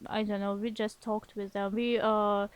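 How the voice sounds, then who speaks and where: A woman guest speaking as a learned language, talking in a hesitant way with many pauses and restarts. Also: medium pitch, level tone, clear, resonant tone. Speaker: podcast guest. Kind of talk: casual interview talk.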